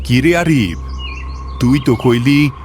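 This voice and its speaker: A man plays a questioning village landlord in a horror cartoon. His mid-pitched voice is reproachful, with a rural Bangladeshi accent. Birds and clear outdoor noise sit behind it. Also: slow pace, darkish tone, close to an everyday voice. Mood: doubtful. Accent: rural Bangladeshi Bengali. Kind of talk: animated horror story acting.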